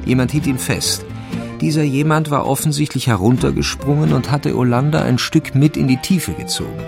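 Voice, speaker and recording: A man narrating a crime radio play in a measured, wry, literary manner. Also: medium pitch, steady tone, gravelly, close to an everyday voice. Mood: grave, suspenseful. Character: dry, omniscient male narrator of a village crime story. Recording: music behind the voice. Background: instrumental music.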